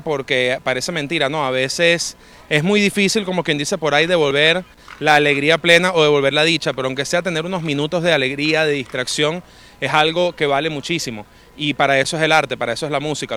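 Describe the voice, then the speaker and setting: A man's voice: a little high, sharp tone. A young man with a Venezuelan accent giving a colloquial, reflective interview answer as a volunteer musician. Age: young adult.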